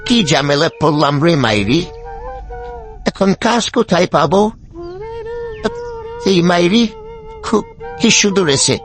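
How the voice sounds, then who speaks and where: A man's voice: high voice, exaggerated voice. A man voicing a henpecked, lazy older fox husband in a cartoon, wheedling and full of exclamations. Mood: muttering, surprised. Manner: wheedling, exclamatory, colloquial village talk. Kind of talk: cartoon story dialogue.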